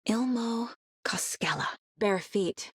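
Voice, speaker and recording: A woman playing a focused, intuitive female FBI agent in a video game, speaking in a measured, introspective and intense way. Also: mid-range voice, expressive, breathy edge, no room sound, studio quality. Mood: focused, pensive. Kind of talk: video game voice acting, investigative monologue.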